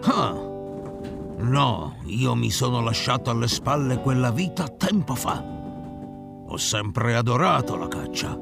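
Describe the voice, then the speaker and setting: A man playing a proud, commanding veteran ship captain in a fantasy audio drama, with a gravelly voice and a wry, deliberate manner. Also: mid-range voice, very animated, full character voice. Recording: loud music behind.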